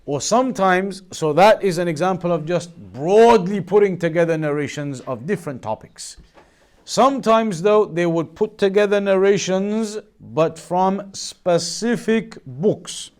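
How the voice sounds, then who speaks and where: Higher-pitched man teaching a religious lesson. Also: lively intonation, darkish tone. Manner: measured explaining, repeats and rephrases key points. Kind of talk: Islamic studies lesson, explaining to students.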